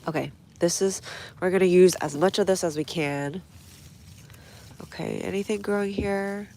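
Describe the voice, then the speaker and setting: A low-voiced woman with a stuffy nose, chatting casually to viewers while she works in her outdoor garden. She reads comments aloud and talks through each step as she goes. Faint outdoor ambience sits under her phone-camera audio. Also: normal speed, gravelly, warm tone, slight background noise. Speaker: gardening livestream host.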